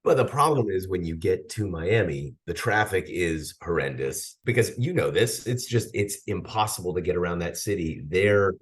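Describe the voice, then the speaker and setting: A man's voice: low-pitched, animated, moderate pace, few pauses. A man co-hosting a podcast, speaking enthusiastically and emphatically in casual conversation.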